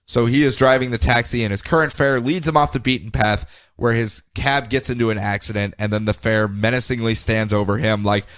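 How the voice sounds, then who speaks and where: A man co-hosting a comic book podcast, recapping the week's issues with a loose, joking delivery. His pitch sits in an ordinary middle range. The sound is dry and close, with no room around it. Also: fairly even intonation, brisk, fairly bright tone, no room sound. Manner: jokey, puts on silly voices for characters mid-recap. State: groggy from medicine. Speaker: podcast co-host.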